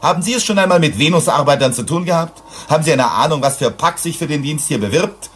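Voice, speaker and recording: A man's voice: fairly high pitch, fast, fairly bright tone, husky. A man playing a brusque, authoritarian, irascible mining director on Venus in a German radio drama. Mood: indignant. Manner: brusque, commanding, indignant.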